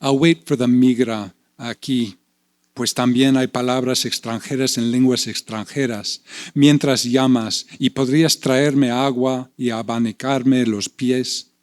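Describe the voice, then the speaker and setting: A slow-speaking man reading aloud and reflecting. A Spanish speaker with a relaxed, unhurried delivery, often repeating words as he searches for them. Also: medium pitch. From United States. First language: English. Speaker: panelist and poet. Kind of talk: panel discussion and poetry reading.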